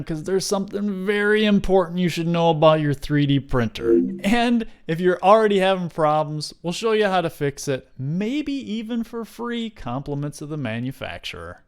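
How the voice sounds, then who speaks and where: A man's voice: fairly high pitch, a little mellow. A man hosting a scripted how-to video, narrating with playful, mock-dramatic phrasing. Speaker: video host.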